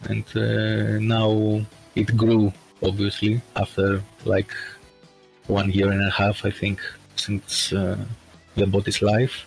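A man from Greece chatting as a podcast guest, speaking slowly and hesitantly with lots of fillers. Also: fairly low pitch, monotone, creaky, faint music behind, poor audio. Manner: slow and hesitant, many fillers. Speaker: podcast guest, bot creator. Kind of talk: casual chat.

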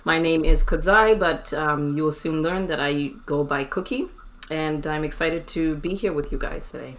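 A woman's voice: deep voice, normal speed. A woman in her thirties from Zimbabwe, co-hosting a casual conversational podcast and chatting easily with frequent ums. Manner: warm, chatty, frequent "um" and laughs. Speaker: podcast co-host.

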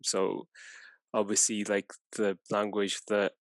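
A man from Afghanistan whose first language is Farsi, speaking hesitantly in a reflective podcast conversation, full of pauses and fillers. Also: lowish voice, monotone, no echo. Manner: hesitant, many fillers, soft-spoken. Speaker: podcast co-host.

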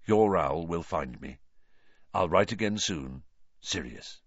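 A fugitive wizard godfather, as a man puts him on, earnest and measured. The voice sits low and moves lively through its phrases. The audiobook recording is dull and lo-fi, with a dry, close sound. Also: no echo, heavily acted.

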